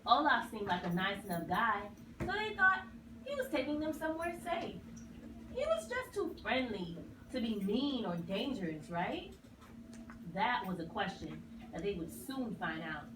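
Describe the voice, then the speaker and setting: Female storyteller for a kids' puppet show: lively, fairly high voice, captured off-mic with noise behind. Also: normal speed. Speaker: narrator. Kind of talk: scripted children's story narration. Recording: roomy, distant mic, clear noise.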